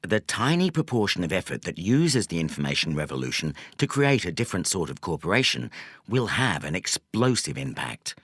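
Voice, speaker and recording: Man, audiobook narrator, lowish voice, varied expressive intonation, deliberate phrasing, dry close sound. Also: slightly rough, dry recording. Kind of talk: scripted nonfiction audiobook narration.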